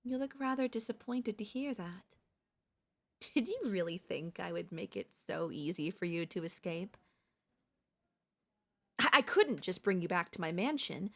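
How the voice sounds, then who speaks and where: Girl voice actor doing an obsessed idol kidnapper, asmr roleplay, soft doting sweet talk but controlling and kinda menacing. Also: lowish voice, lively intonation, measured pace, pauses often, full character voice. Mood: calm, menacing. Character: obsessive yandere female pop idol kidnapper, sweetly possessive.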